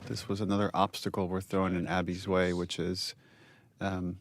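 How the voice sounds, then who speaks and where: A man's voice: deep voice, completely flat delivery, moderate pace. A man giving casual, thoughtful behind-the-scenes commentary as a game developer.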